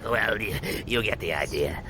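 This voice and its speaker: An actor playing a gloating, theatrical robot villain with a menacing manner. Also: male voice, lowish voice, animated, moderate pace, natural voice. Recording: loud ambient noise behind voice.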